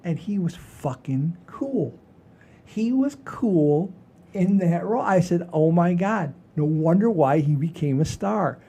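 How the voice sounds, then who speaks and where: A man's voice: high-pitched, animated, slow, warm tone, slightly rough. A man in his sixties hosting a casual comedy podcast, telling stories in an emphatic, repetitive, freely swearing way. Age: 60s. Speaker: podcast host. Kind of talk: casual comedic storytelling chat.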